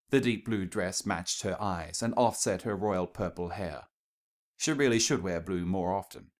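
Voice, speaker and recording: A male narrator reads a piece of fiction. His voice sits on the low side. The delivery is scripted storytelling, sentence by sentence.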